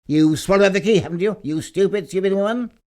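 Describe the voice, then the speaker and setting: A man's voice: sits high, fairly quick, a little mellow, pitched above the performer's own voice, lightly acted. A man voicing a self-important, jealous husband who works as a stage escapologist in a story, pompous and exclamatory. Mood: furious, jealous.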